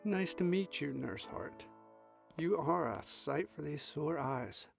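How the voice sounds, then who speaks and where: A man playing a courteous, eerily calm psychiatric patient in an audio drama, speaking formally. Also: on the high side, slow pace, gravelly, music in the background, exaggerated voice. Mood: smitten, polite.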